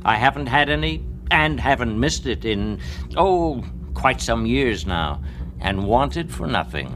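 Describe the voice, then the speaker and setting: An actor playing a kindly, condescending old mentor in a radio drama, with formal, presentational delivery. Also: male voice, mid-range voice, very animated, measured pace, background noise. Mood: wry, serene. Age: older. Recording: radio drama with background ambience.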